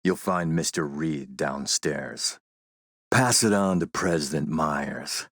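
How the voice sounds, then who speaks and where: A man playing a suave video game warlord, coolly polite and mocking, with a measured, sardonic menace. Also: very deep voice, fairly bright tone, no room sound, studio quality, heavily acted. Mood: quiet threat. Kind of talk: video game villain acting.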